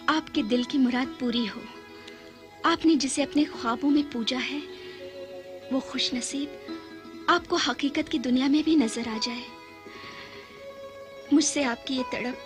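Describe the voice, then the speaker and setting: An actress playing a gentle, devoted palace maidservant in a period serial, with a respectful, courtly manner. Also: female voice, high voice, fairly even intonation, a little slow, pauses often, music in the background. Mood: respectful, tender. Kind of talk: formal Urdu-flavoured period serial acting.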